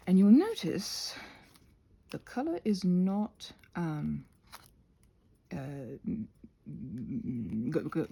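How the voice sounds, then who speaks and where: A woman talks casually to her viewers as she crafts, in a mellow, slightly husky voice. Her pitch wanders up and down in a lively way. She is halting and self-conscious, often breaking off a thought for an aside in a rambling ASMR style. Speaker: ASMR craft video creator and host.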